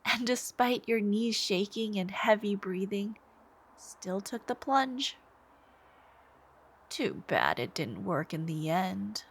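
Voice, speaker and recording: A woman's voice: mid-range voice, very animated, full character voice. A woman playing a smitten, sweetly menacing young supervillain stalker in an intimate ASMR roleplay, coy and theatrical, sometimes murmuring. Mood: admiring, obsessed. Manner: coy, unhurried, theatrical, lapsing into breathy murmurs.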